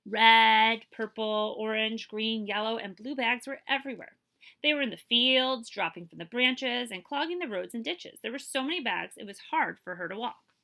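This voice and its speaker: Bright-voiced woman reading to children. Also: fairly high pitch, gravelly. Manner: expressive storytelling, pausing to check understanding with listeners. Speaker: librarian reading a picture book aloud. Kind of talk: children's read-aloud with explanatory asides and questions.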